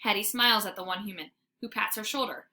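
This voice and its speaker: A woman's voice: medium pitch, fairly even intonation, fast, background noise. A woman reading aloud as a teacher would, narrating a children's story expressively with dramatic emphasis.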